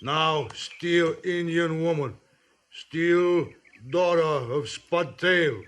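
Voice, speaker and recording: A man playing a proud, distrustful Sioux chief and stern father in halting, clipped phrases, in 1950s radio western style. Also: on the high side, a bit bright. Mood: accusing, bitter.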